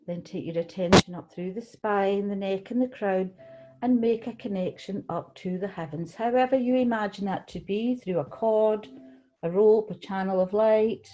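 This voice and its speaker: A woman guides a relaxation meditation in a soft-edged, mellow voice. Her delivery is gentle and soothing, with unhurried phrases and room left between them. The pitch sits in a middle range for a woman. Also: highs cut off. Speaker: guided meditation leader, tai chi qigong teacher.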